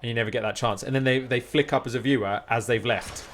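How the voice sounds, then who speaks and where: Male live streamer, mid-pitched, informal and rambling, encouraging, faint music behind. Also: fairly even intonation, fast, a bit bright. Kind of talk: casual chat with stream viewers.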